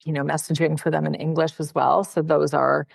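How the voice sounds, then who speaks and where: A woman's voice: sits low, little pitch movement, a little fast, gravelly, no room sound, clean studio sound. A woman, a personal brand consultant from Canada, speaking as a podcast guest in a chatty, friendly way with frequent fillers. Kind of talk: casual interview conversation.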